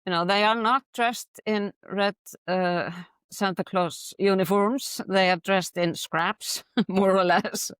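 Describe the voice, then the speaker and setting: A woman from Iceland, a guest chatting easily with many hesitant pauses. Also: mid-range voice, fairly even intonation, smooth, no echo. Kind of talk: casual interview chat.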